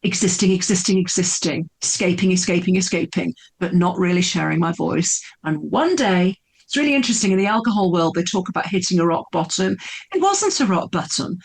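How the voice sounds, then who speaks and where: A woman's voice: fairly low pitch, lively intonation, brisk, husky. Earnest middle-aged woman. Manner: earnest, emphatic, repeats words for effect. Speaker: podcast guest, speaker and coach. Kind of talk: candid storytelling interview.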